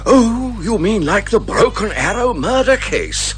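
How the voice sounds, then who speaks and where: Flustered sidekick, wildly animated. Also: male voice, sits high, measured pace, a little hiss or hum, full character voice. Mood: puzzled. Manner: incredulous, questioning. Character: fussy, sceptical male sidekick, easily flustered. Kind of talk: 1980s radio comedy detective drama acting.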